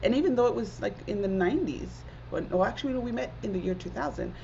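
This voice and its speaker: A woman's voice: on the high side, lively intonation, fast, vocal fry, dark tone, slight background noise. A woman in her forties from Zimbabwe, a guest speaking earnestly and reflectively in a casual interview, often dropping in "like". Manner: earnest, reflective, many "like" fillers. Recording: dull lo-fi audio.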